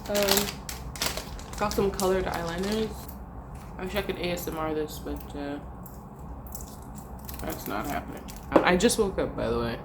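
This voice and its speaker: A woman's voice: fairly low pitch, a little slow, long pauses, very noisy. A young woman, a university student, chatting casually to her camera at home, rambling and trailing off with fillers. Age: young adult. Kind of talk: casual vlog chat to camera.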